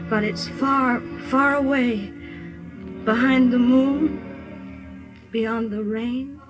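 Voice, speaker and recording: A woman's voice: high-pitched, rough recording. A woman playing an earnest, anxious and dreamy young farm girl in a 1950s radio drama. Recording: vintage 1950 radio broadcast.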